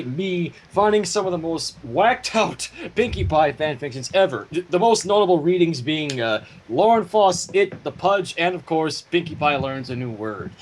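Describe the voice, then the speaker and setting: A man's voice: fairly high pitch, animated, moderate pace. A man chatting casually on a livestream as a fanfiction reader, with a playfully theatrical, mock-courtly way of talking.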